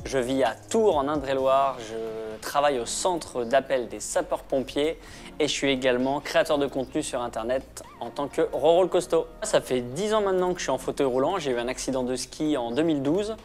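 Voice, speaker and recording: A man in his thirties speaks calmly about his life in an interview, pausing now and then with fillers. Soft music plays quietly beneath his mid-pitched voice. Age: 30s. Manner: measured, pauses with fillers. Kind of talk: calm personal testimony.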